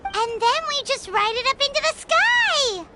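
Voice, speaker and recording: Squeaky, bubbly little fairy sidekick. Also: female voice, big pitch swings, a little slow, heavy background noise, heavily acted. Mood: excited. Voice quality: squeaky, childlike. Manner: exclamatory, refers to herself by name. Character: small childlike flying fairy companion, bubbly and chatty. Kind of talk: video game character dialogue.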